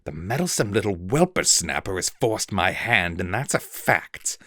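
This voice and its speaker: A man voicing a scheming male villain who stays icily calm and hisses his threats. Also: sits low, moderate pace, no room sound, exaggerated voice. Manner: icily calm, hissing threats, then screaming denials. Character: sly cold male villain, spectacled mutineer.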